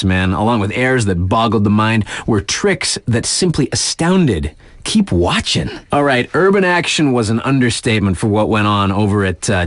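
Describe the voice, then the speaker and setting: Animated snowboard radio DJ. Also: male voice, a little high, no room sound, clean studio sound. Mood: hyped, amazed. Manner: laid-back slangy delivery with hesitations and emphatic punch words. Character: enthusiastic laid-back male snowboard radio DJ, excitable fan. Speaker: radio DJ announcer for a snowboarding circuit. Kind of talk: hyped casual radio sports recap.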